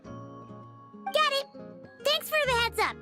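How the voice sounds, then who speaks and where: A woman voicing a small, excitable, affectionate childlike companion in a video game, with a squeaky childish voice. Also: slow pace, over music, exaggerated voice. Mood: curious, chirpy.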